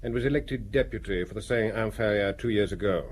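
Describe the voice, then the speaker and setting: A man's voice: lowish voice, brisk, a little hiss or hum, close to an everyday voice. An actor playing a businesslike police chief briefing his inspector with dry understatement in a radio drama. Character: authoritative police chief, superior officer.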